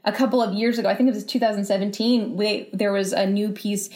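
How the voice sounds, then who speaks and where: A woman's voice: mid-range voice, little pitch movement, fast, a little mellow. A woman giving thoughtful, conversational interview answers, reasoning aloud and rephrasing as she goes. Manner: reflective, exploratory, repeats and rephrases as she reasons. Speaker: interview guest, writer.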